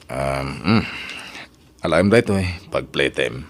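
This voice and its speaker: A man's voice: a bass voice, expressive, a little slow, full character voice. An actor with an Ilocano accent playing an earnest, reserved young working man in a radio drama. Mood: kindly, serious.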